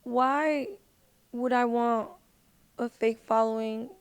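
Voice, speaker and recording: A woman's voice: fairly high pitch, fairly even intonation, slow pace, clear, resonant tone. A woman speaking as a podcast guest in casual, slangy conversation with lots of filler "like.". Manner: informal, slangy, frequent "like". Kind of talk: casual chat. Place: podcast recording.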